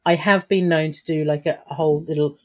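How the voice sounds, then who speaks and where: A woman's voice: deep voice, fairly even intonation, a little fast. A woman talking as a guest in an interview, upbeat and chatty, laughing often and restarting her sentences. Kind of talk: podcast interview answers.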